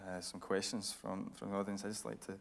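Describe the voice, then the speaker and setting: A man's voice: lowish voice, flat delivery, fast talker, gravelly. A man hosting a live on-stage interview, putting probing questions to a guest. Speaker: interviewer and event host. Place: radio theatre with a seated audience.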